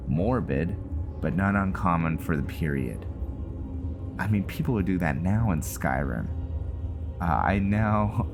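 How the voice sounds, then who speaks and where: Male gaming host, deep register, casual and musing roleplay narration with clear music underneath. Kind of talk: casual roleplay gameplay commentary, sometimes reading in-game text aloud. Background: game music.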